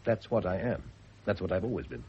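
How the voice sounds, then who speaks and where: A man's voice: sits low, animated, brisk. An actor playing a wealthy, brooding, urbane Englishman in a radio drama, with a British accent and a theatrical, educated delivery. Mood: bitter self-reproach. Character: wealthy, self-reproaching married Englishman, brooding yet urbane. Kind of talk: mid-century radio drama acting. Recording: dull old radio recording, faint noise.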